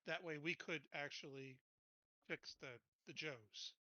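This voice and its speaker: A man's voice: on the high side, steady tone, unhurried, bright, forceful tone, no room sound, dull audio. A man playing a tabletop roleplaying game, planning moves casually in a blunt, informal way.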